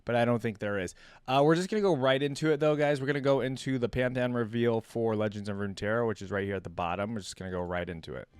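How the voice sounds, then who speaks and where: A man's voice: medium pitch, fast talker, a little mellow, clear, resonant tone, dry recording. A man hosting a reaction video in casual chat, informal and thinking aloud as he goes, often repeating himself.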